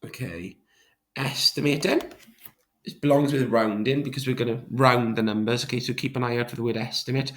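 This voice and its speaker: A male maths teacher with a hoarse voice from a sore throat, talking students through a revision sheet step by step. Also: medium pitch, fairly even intonation, a little fast, a bit bright. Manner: step-by-step explanations, checking with "right?". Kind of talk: recorded revision walkthrough.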